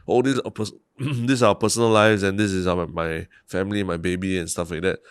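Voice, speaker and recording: A man's voice: sits low, fairly even intonation, a little fast, clean tone, no room sound, clean studio sound. A young man with a Singaporean English accent, co-hosting a podcast in a rambling, casual way with many restarts. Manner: rambling, frequent restarts and "lah" endings. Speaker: podcast co-host. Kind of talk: casual chat.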